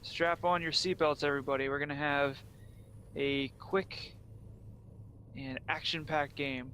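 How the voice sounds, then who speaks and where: A young man from Pennsylvania giving steady, explanatory play-by-play commentary on a strategy game. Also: fairly high pitch, a little slow. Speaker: play-by-play caster. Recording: faint background noise.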